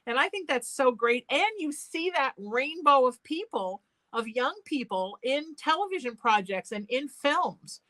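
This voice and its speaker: A woman from Connecticut hosting a radio talk show, chatting with a guest in a frank, expressive way. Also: high-pitched, unhurried, few pauses. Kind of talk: casual interview chat.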